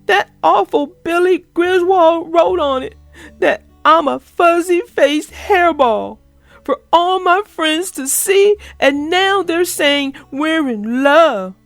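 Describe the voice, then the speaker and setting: A woman's voice: very high-pitched, animated, slow pace, no echo, soft background music, voice pushed up, full character voice. A woman voicing an upset young girl bear who whines and sobs dramatically in a children's storybook. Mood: sobbing, indignant. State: crying.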